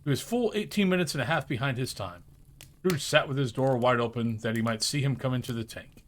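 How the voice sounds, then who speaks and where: A man reading a classic story aloud as narrator, in an expressive storybook style. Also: medium pitch, brisk, a little hiss or hum.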